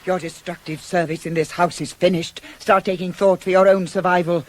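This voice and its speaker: A woman's voice: lowish voice, steady tone, brisk, natural voice. A woman playing a proud, anguished queen bent on death in a radio tragedy, declaiming and falling into intense whispers. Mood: cold fury. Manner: heightened, declamatory, often dropping to intense whispers. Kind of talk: 1980s radio drama, Greek tragedy. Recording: hissy radio play taped on cassette.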